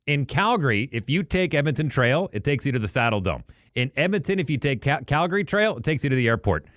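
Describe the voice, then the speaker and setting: A man's voice: medium pitch, sing-song, fairly bright tone, no room sound, clean studio sound. A man co-hosting a podcast, chatting casually and reminiscing in a rambling way. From Western Canada. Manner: rambling, reminiscing, quick asides. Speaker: podcast co-host. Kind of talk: casual sports chat. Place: apartment recording studio.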